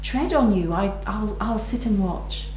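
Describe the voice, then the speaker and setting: Woman as a hesitant, mellow young shepherd lad. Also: mid-range voice, lively intonation, a little slow, lightly acted. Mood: nervous excuse. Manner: hesitant, polite. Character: young shepherd lad, chapel-going, wary and amazed. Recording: roomy echo with background noise.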